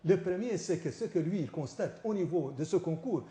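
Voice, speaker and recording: A professor from Mauritania arguing as a guest on a TV panel debate, stumbling through repeated restarts. His voice sits fairly high yet sounds mellow and dark. The studio around him is echoey. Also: expressive. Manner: halting, many repeated restarts.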